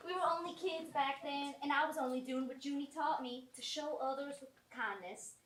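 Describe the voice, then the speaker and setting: A woman playing a kindly, prim Southern country woman in mourning, folksy and gently scolding, in a live amateur stage comedy. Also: high voice, moderate pace, a little hiss or hum. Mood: tender. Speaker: actor in a staged reading of a short play. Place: small college theatre stage. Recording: roomy theatre, mic at a distance.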